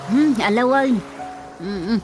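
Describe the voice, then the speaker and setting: A woman's voice: fairly high pitch, animated, slow pace. An actress with an Ilocano accent playing a reluctant, grumbling woman at home in a radio drama comedy. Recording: light music behind the dialogue.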